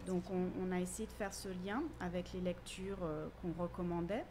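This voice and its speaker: A woman teacher giving measured, articulate explanations in a radio interview. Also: fairly low pitch, fairly even intonation, background noise. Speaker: university teacher.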